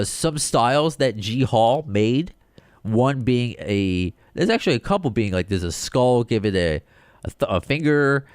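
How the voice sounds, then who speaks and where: A man from the United States hosting a solo podcast, talking in an excited, rambling way with many restarts. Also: lowish voice, no room sound, studio quality. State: short on sleep. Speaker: podcast host.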